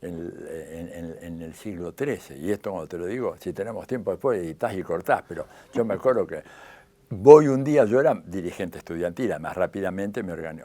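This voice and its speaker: Older man, Rioplatense Argentine accent, gravelly, lowish voice, animated anecdotes. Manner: animated anecdotal storytelling, acting out quoted dialogue. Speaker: interviewee. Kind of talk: storytelling interview.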